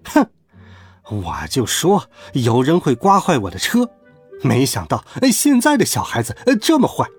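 A man's voice: medium pitch, huge pitch swings, normal speed, slightly rough, faint music behind, full character voice. A man voicing a short, bad-tempered little man in a children's story, snapping and threatening. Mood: angry. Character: short-tempered tiny adult man, rude.

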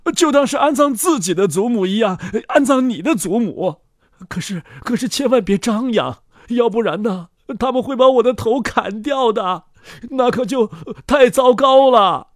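A man voicing a hot-tempered but kindly inn landlord in a storybook, blustering and excitable. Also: very high voice, normal speed, no echo, studio quality, voice pushed up. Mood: panicked, pleading. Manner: shouting, stammering when flustered.

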